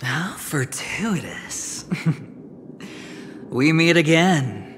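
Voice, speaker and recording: A man's voice: a little high, animated, very slow. A man playing a suave, scheming young gambler in a video game, smug and teasing. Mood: amused, smug. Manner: smooth-talking, smug, theatrical, teasing. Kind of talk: dramatic video game voice acting. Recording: long hall reverb, game music behind.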